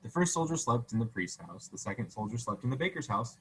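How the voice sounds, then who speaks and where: A man's voice: medium pitch, big pitch swings, quick pace, a bit bright, slight background noise, low-quality recording. Man reading a storybook aloud. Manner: casual, stumbling, self-correcting. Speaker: reader.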